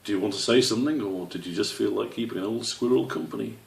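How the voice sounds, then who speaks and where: A man voicing an old father squirrel in a children's story, stern but patient, speaking in a measured, gently questioning way. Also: fairly low pitch, creaky, pitched above the performer's own voice. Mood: stern, probing. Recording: roomy, slightly distant microphone.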